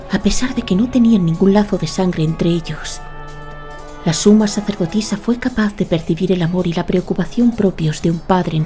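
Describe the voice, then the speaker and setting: A woman narrating a scripted audio drama in a measured, dramatic storytelling manner. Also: fairly low pitch, warm tone.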